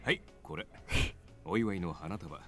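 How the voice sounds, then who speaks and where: An actor playing a suave, prickly gentleman in a Japanese visual novel, smooth and condescending. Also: deep voice, normal speed, dry recording. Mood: gracious. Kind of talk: Japanese game voice acting. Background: game music.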